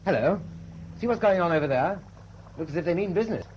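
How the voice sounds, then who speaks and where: A keen British naval lieutenant, has a high, husky voice with lively swings in pitch. He is crisp, earnest and respectful. It sounds like a muffled old radio drama. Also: fast, slight background noise. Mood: alert.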